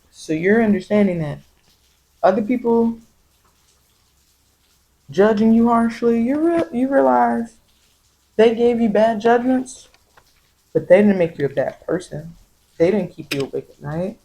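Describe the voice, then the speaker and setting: An American woman giving soft-spoken ASMR spiritual guidance as a tarot reader, meandering and repeating phrases to reassure. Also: mid-range voice, slight background noise, poor audio.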